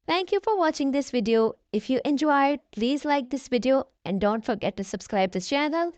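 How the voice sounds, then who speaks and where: A woman's voice: sits high, lively intonation, normal speed, darkish tone, no echo. A woman reading a storybook aloud expressively for young children, speaking to them directly as the host.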